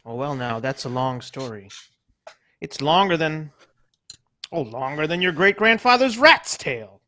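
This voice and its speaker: A man's voice: on the high side, expressive, measured pace, sharp tone. A man voicing a fussy, motherly mouse mother in a live radio play reading, bossy and coaxing. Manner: bossy and coaxing, old-fashioned.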